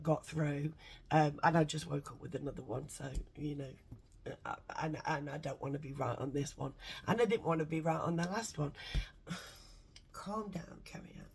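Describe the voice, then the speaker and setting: A woman with a congested, bunged-up nose, rambling excitedly and swearing a lot in a casual vlog from home. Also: deep voice, slight background noise. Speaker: vlogger.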